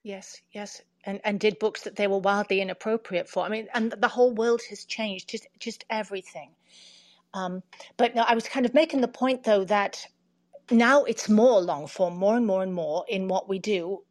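Middle-aged woman, mid-pitched, reflective and measured. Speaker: audiobook narrator, interview guest. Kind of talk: casual reflective conversation.

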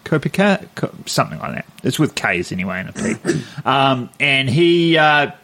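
NZ male co-host, mid-pitched, laid-back anecdotes with frequent laughs. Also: sing-song, normal speed, fairly bright tone. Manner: easygoing storyteller, laughs often. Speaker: podcast co-host. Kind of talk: casual chat.

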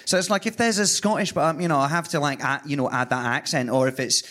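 A man's voice: a little high, fast talker, a bit bright. A man, a comedian and impressionist, chatting casually and self-mockingly as a guest on a live podcast. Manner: chatty, self-mocking, full of fillers and restarts. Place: live club stage with audience.

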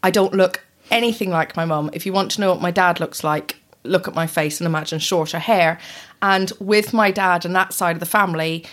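A woman's voice: lowish voice, fairly quick, fairly bright tone. Woman in her 30s, english-irish mixed accent, chatty storyteller, laughs a lot. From born in the US, raised in England and Ireland. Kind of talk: casual chat.

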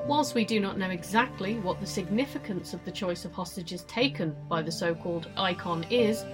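A woman plays an earnest news reporter narrating a scripted report in an audio drama. Her formal, mid-pitched voice sits over prominent music. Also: moderate pace, lightly acted. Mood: serious.

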